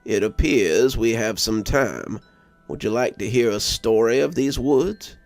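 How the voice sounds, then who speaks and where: A man voicing a grave, slow-spoken legend teller. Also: medium pitch, animated, no room sound, soft background music. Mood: grave, foreboding. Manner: grave, stoic, deliberate. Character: solemn local man recounting his tribe's old legend. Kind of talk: storybook dialogue in horror narration.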